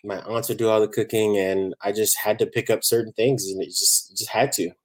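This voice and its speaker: Relaxed man, rambling. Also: on the low side, fairly quick. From Barbados. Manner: relaxed, rambling, many "you know" fillers. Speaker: chef, guest. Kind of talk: casual interview chat.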